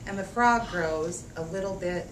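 A woman teacher reading a picture book aloud to young children, expressive and rhythmic, drawing out phrases and asking them questions. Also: lowish voice, a little slow. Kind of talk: interactive storybook read-aloud. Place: echoey room with a group of children. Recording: echoey room, distant mic, faint noise.

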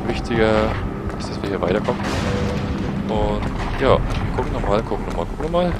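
German let's player with a cold. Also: male voice, fairly low pitch, expressive, brisk, over loud music. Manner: rambling, self-correcting. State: has a cold. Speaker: let's play host. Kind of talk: gameplay commentary. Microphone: headset.

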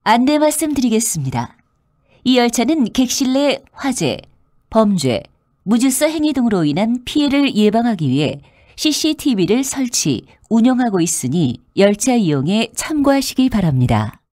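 A woman reading scripted subway announcements in a formal, polite, clearly enunciated way. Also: a little high, big pitch swings, moderate pace, a little mellow, no echo, clean studio sound. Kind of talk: scripted public transit announcement.

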